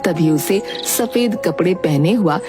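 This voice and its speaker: A woman narrating a scripted animated story as its storyteller. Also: lowish voice, measured pace, over music.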